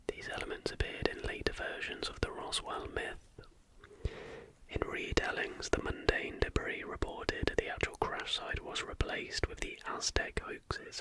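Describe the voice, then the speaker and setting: An ASMR narrator who whispers from start to finish. The delivery is soft and unhurried, following a script like a documentary read, with breath in place of voiced tone. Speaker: host.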